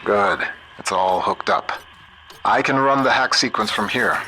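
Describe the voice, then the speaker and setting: Man playing a low-voiced, wry American scientist, noisy backdrop. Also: natural voice. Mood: steady, guiding. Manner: measured, wry, plain-spoken. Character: shrewd, principled male computer scientist, wry and plain-spoken. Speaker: guide character. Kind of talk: video game voice acting, audio diaries and radio guidance.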